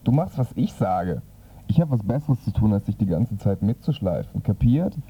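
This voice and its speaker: An exasperated, despairing antihero played by a man in a comic radio play. He is gruff and fretful with swooping intonation, heard from a distance through a muffled recording. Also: fairly low pitch, very animated, moderate pace, full character voice. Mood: impatient.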